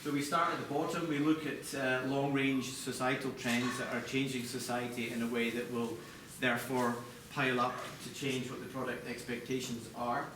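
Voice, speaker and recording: A man giving an explanatory product design presentation to a live audience as a chief designer, speaking in measured, slightly hesitant phrases. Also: medium pitch, level tone. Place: large echoey indoor presentation space. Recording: echoey room, distant mic, background noise.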